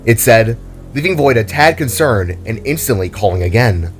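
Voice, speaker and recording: Male story narrator over soft music. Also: medium pitch, animated, moderate pace. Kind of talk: scripted dramatic narration.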